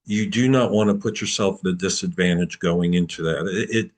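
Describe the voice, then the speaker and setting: A man answering applicants' questions at an online open house, relaxed and explanatory, over a video call. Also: low-pitched, a little fast. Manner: relaxed, explanatory, with repeats and restarts. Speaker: program admissions advisor.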